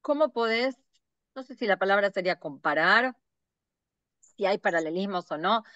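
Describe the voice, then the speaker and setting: Host: middle-aged Argentine woman, smooth lowish voice, hesitant and thoughtful, dull dry recording. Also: expressive, normal speed, fairly bright tone, no echo. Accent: Argentine Spanish. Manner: thoughtful, hesitant phrasing with many fillers. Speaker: podcast host. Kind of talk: interview questions.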